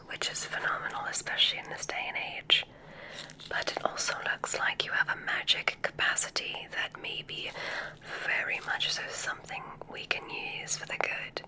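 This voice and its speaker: A woman whispering gently and deliberately in an ASMR roleplay as a kindly witch examiner, giving soft instructions in a breathy voice. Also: rough recording.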